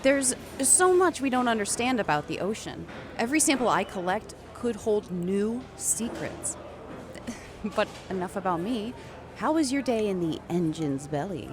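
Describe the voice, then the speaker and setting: A woman acting as a warm marine biologist in an audio drama, with a mid-pitched voice. She talks with gentle enthusiasm, and clear background noise sits under her words. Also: animated, normal speed, close to an everyday voice. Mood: fascinated, warm. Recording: clear ambient noise behind.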